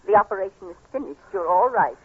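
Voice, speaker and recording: A concerned aunt in a 40s radio drama, swoopy intonation, dull old recording. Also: female voice, medium pitch, animated, quick pace, breathy edge. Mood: gentle concern. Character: caring middle-aged aunt, soothing and concerned. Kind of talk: 1940s radio acting. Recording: muffled, tinny 1940s radio recording.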